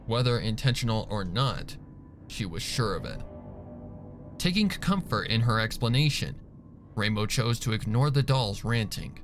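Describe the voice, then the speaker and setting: A man's voice: on the low side, lively intonation, moderate pace. A man reading a story aloud as scripted, dramatic narration. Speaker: fan fiction narrator. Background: background music.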